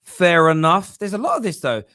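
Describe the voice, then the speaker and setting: A man's voice: high-pitched, very animated, normal speed, pushed and bright. A man hosting a football fan livestream, chatting bluntly and emphatically about viewer comments and often repeating himself. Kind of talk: casual live fan talk, reading out viewer comments.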